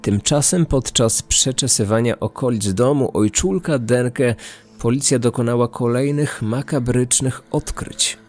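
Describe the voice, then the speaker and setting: A man reading scripted true-crime documentary narration in a measured, serious way. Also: mid-range voice, a little mellow, faint music behind.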